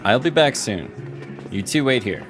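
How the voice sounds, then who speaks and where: An actor playing a businesslike male mentor of a fantasy order in an audio drama, brisk and purposeful. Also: mid-range voice, lively intonation, over loud music, lightly acted.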